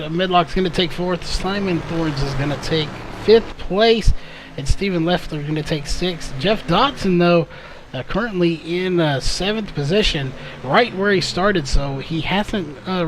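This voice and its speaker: High-voiced man calling a race. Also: a little hiss or hum, poor audio. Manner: many fillers and self-corrections. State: slightly losing his voice. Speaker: play-by-play commentator. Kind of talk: live race commentary.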